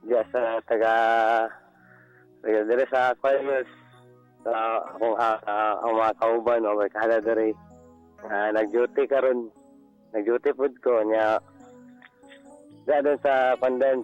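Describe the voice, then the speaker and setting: A man with a Cebuano Filipino accent calling a radio show, talking casually and haltingly, often repeating himself. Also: mid-range voice, fairly even intonation. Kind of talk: casual phone chat. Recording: dull lo-fi phone call on radio. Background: faint music.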